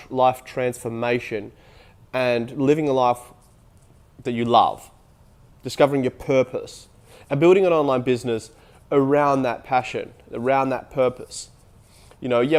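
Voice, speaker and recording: A man's voice: mid-range voice, darkish tone. Nasal man with a head cold. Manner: rambling, with frequent restarts and fillers. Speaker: online business vlogger. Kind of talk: casual motivational talk to camera.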